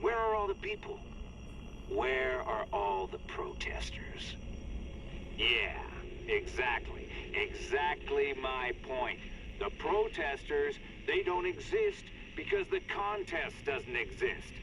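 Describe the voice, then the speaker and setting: An animated, scoffing radio sceptic. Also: male voice, mid-range voice, takes it slowly. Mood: scornful, exasperated. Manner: argumentative, rhetorical, scoffing. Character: exasperated, sceptical male radio talker debunking rumours. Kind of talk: talk radio acting in a game. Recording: distant, noisy radio-like sound.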